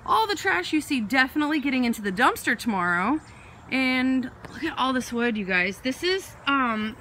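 A young woman, chatting excitedly as she films a walkthrough of a house she is renovating, speaking into her phone. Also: sits high, a little hiss or hum. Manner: chatty, excitable, jumps between topics. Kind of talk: casual walkthrough vlog. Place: empty house and yard, indoors and outdoors. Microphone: phone held while filming.

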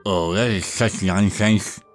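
A man's voice: fairly low pitch, fairly even intonation, slow, faint music behind. Man doing a whiny nerdy vampire know-it-all. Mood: smug, argumentative. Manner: pedantic, whiny, condescending. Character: nerdy, dorky young male vampire, petulant Star Wars know-it-all. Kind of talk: goofy character roleplay monologue.

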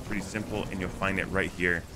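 A man's voice: sits low, little pitch movement, brisk, background noise. A man narrating a video game walkthrough in a casual, instructive way. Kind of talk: walkthrough commentary over gameplay. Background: music.

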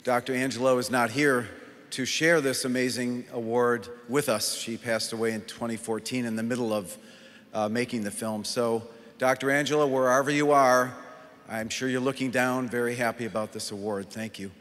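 A man in his sixties giving an informal, somewhat halting acceptance speech at a podium microphone. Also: mid-range voice, fairly even intonation, fairly bright tone. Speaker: award recipient, filmmaker. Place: large awards hall stage, indoors. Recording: reverberant hall with audience sound. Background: audience.

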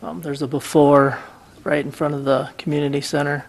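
Public works guy reading his update at council, gravelly flat voice, lots of ums. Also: a little high, brisk. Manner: flat, steady report with many ums. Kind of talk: council meeting report. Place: city council chamber.

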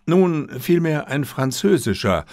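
A man voicing a calm, drily teasing detective's companion in an audiobook, understated and gently insistent. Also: fairly high pitch, unhurried, no room sound, clean studio sound, voice pushed up. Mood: insistent, correcting. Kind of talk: audiobook character reading.

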